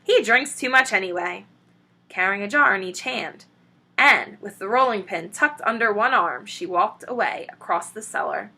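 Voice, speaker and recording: Casting: female teacher as children's storyteller, very animated, slightly gravelly and husky edge. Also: medium pitch, normal speed. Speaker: teacher reading aloud. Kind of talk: expressive children's story narration.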